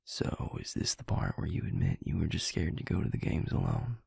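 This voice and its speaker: Man acting a gravelly, teasing Southern vampire. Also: low-pitched, wildly swooping pitch, a little fast, darkish tone, no room sound, clean studio sound, heavily acted. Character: teasing, easygoing Southern vampire cowboy friend. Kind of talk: intimate audio roleplay acting.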